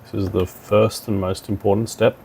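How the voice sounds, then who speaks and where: A man with a fairly low voice that often drops into creak. He talks through a technical demonstration informally, step by step, thinking aloud as he goes. There is faint noise behind him. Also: little pitch movement, normal speed, dark tone. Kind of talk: software tutorial walkthrough, talking while clicking.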